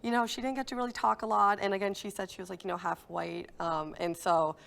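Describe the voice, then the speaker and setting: Gravelly-voiced woman weighing clues. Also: mid-range voice, steady tone, quick pace, a bit bright. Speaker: game show contestant.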